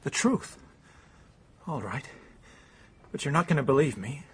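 An actor playing an anguished, browbeaten and bitter stepson, speaking emotionally and haltingly in a radio drama. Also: male voice, medium pitch, lively intonation, slightly rough.

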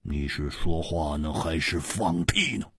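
A man voicing a blunt, hot-tempered fighter in a wuxia audiobook, gruff and emphatic. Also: medium pitch, very animated, slow pace, darkish tone, no echo, exaggerated voice. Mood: confrontational.

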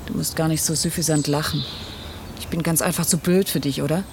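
An actress playing an exasperated, sharp-tongued woman in a German radio drama, curt and sarcastic. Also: deep voice, steady tone, brisk, background noise.

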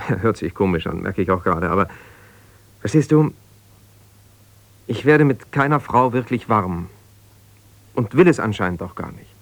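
Hesitant, defensive, wounded husband. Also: male voice, on the low side, expressive, normal speed, vocal fry, pauses often, no echo. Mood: confiding, troubled. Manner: hesitant, searching, self-justifying, conversational. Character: defensive, wounded husband pouring out his troubles to a confidante. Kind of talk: naturalistic 1970s German radio drama monologue.

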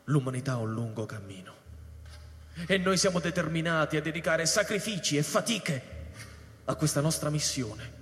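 A man's voice: fairly high pitch, lively intonation, moderate pace, a bit bright. An actor playing a pompous, earnest male scientist in a science-fiction radio drama, speaking formally and with great feeling. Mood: solemn, pompous. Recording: echoey hall reverb with background noise.

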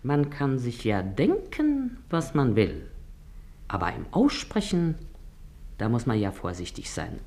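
A woman's voice: rumbling low, huge pitch swings, measured pace, warm tone, full character voice. An actress playing a shrewd, chatty working-class mother in a German radio play, middle-aged, with a Berlin accent and a wheedling, emphatic way of talking. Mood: confidential, cautioning.

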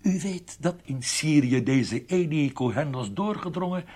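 A husky, somewhat high-voiced military prison doctor in a radio drama. He interrogates with measured, formal courtesy that carries menace. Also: animated, darkish tone. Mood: formal, probing. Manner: measured, formal, pointedly polite.

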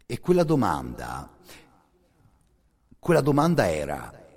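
A man with a husky, gravelly voice delivers an intense, theatrical scripted monologue for radio theatre, swelling between hushed and forceful. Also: deep voice, wildly swooping pitch, takes it slowly. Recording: long hall reverb.

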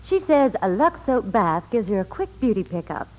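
A woman's voice: mid-range voice, very animated, moderate pace, a little mellow, low-quality recording. A woman giving scripted Hollywood reports and sponsor talk in 1940s radio style. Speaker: Hollywood reporter and soap pitchwoman.

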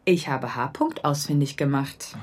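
A woman playing a headstrong, cheeky young police assistant with a husky voice, in a naturalistic radio drama. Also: extremely low pitch, fairly even intonation, unhurried. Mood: cheeky, matter-of-fact. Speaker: actor in an audio drama.

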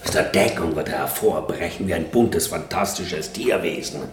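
Deep male voice, grandiose boss, declamatory, impassioned, roomy radio drama with faint music man acting. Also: moderate pace, a little hiss or hum, natural voice. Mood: visionary, insistent. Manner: declamatory, emphatic, pompous.

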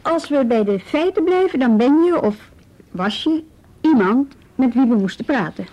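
An actress playing a calm, probing policewoman in a radio drama, questioning in a measured, businesslike way. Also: high-pitched, lo-fi sound. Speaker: police officer questioning a youth.

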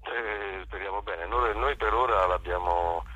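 A man being interviewed about his work, explaining in a conversational way, haltingly, often repeating himself as he searches for words. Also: fairly low pitch, bright, forceful tone, dull audio. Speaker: guest, actor and playwright.

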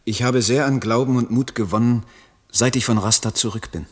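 An actor playing an idealistic, embittered young poet in a literary radio play, declaiming solemnly and rhetorically. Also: male voice, medium pitch, normal speed, breathy edge, natural voice. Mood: melancholy resolve. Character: idealistic, embittered young male German poet, brooding and impassioned.

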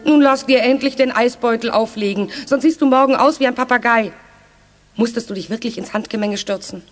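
Woman as brisk, teasing older aunt. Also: on the high side, soft background music, close to an everyday voice. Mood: wry, fussing. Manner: brisk, teasing, mock scolding. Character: wry, caring 62-year-old aunt, bossy but jolly. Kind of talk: 1980s German children's radio play acting.

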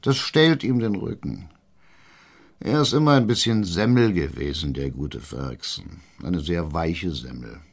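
An actor playing a cunning, domineering mayor in a German radio drama, emphatic and sardonic. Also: male voice, low voice, animated, measured pace, gravelly, husky, full character voice. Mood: smug, sardonic. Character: blustering, cunning small-town mayor, crude and domineering.

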